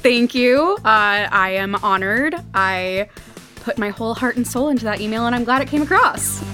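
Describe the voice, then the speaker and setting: A woman's voice: a little high, moderate pace, pushed and bright, faint music behind. A woman chatting casually as a podcast guest, enthusiastic and droll. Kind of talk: casual chat.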